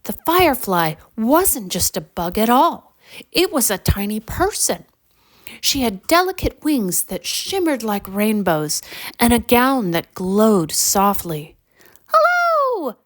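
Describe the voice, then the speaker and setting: Slow, expressive woman storyteller. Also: mid-range voice. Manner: expressive, deliberate storybook delivery. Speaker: narrator. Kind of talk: scripted children's bedtime storytelling.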